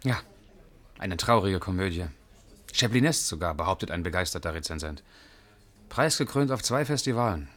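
Reserved man, very low husky voice, wry and understated radio drama dialogue. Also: animated, normal speed, fairly bright tone. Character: reserved, wry man drawn to a young woman. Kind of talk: 1980s radio play dialogue.

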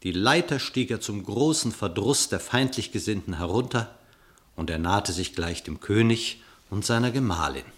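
A man narrating a classic verse radio drama in a measured, literary storytelling manner. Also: lowish voice, expressive.

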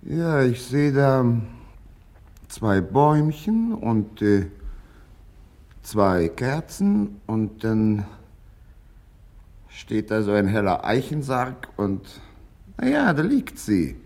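An actor playing a needy, petulant young man in a radio drama, husky, moving between sulky muttering and bombastic ranting. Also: medium pitch, sing-song, very slow, pauses often, a little mellow, exaggerated voice. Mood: hesitant, flat. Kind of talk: theatrical radio drama acting.

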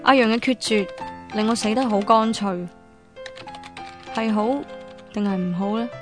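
A woman's voice: medium pitch, steady tone, measured pace, over music, lightly acted. An actress playing a heartbroken, defiant young woman in an emotional, emphatic radio drama style. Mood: wistful, hurt. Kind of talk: Cantonese radio drama narration and acting.

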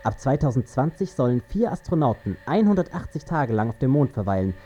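A man's voice: medium pitch, big pitch swings, fairly quick, dark tone, husky. An actor playing a male astronaut crewman in a radio play, reciting in a matter-of-fact way like an announcement. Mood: factual. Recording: dull sound with faint music.

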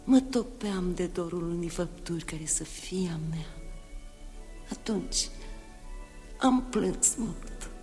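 An actress playing a young empress speaking softly and intimately to her son in a 1960s radio drama. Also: fairly low pitch, pauses often, faint music behind, natural voice. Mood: tender. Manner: soft, intimate, often hushed. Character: young tender empress mother. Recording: 1964 radio recording in long reverb.

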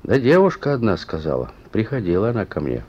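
An actor playing a shrewd, stern police chief with an ironic, folksy way of talking in a Soviet radio play. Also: male voice, fairly low pitch, wildly swooping pitch, brisk, a little mellow, slightly rough, exaggerated voice. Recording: muffled old radio recording.

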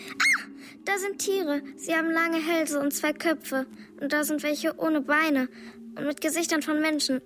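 A woman playing a childlike, timid seer in a German radio drama, describing visions in simple, halting, wondering phrases. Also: high-pitched, natural voice. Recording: clear ambient noise behind.

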